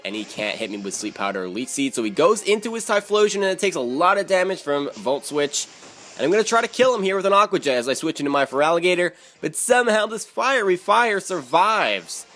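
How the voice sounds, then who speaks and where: A man's voice: on the high side, animated, a little fast, a bit bright, faint music behind. A man narrating his own video game battle as commentary, repeating words and phrases for emphasis.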